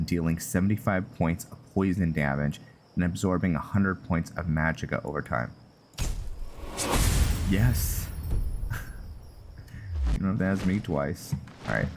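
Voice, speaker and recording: A man giving casual commentary on a roleplay game, rambling and thinking aloud as he plays. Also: low-pitched. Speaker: gaming channel host. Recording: clearly noisy background.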